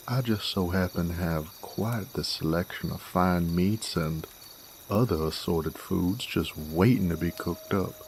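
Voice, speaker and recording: A man playing a genial, smooth-talking cowboy drifter with an American Western drawl, courteous and wordy in an audio roleplay. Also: low voice, darkish tone, slight background noise. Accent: American Southern/Western drawl. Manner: folksy, courteous, wordy, unhurried. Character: smooth-talking male werewolf bounty hunter drifter, genial Western wanderer. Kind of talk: Western audio roleplay, acted monologue to listener.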